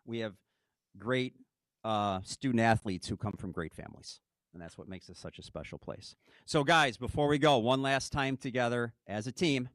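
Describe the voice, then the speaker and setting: A man's voice: medium pitch, moderate pace, a bit bright, no echo. A man, the head coach, giving an earnest speech to his team at a ring ceremony, often checking in with "okay?".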